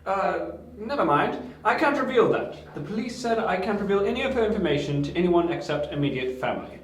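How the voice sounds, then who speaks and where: A man's voice: high voice, lively intonation, brisk. A man playing a scatterbrained, flustered, tactless doctor in an amateur audio drama. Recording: roomy, faintly noisy home recording.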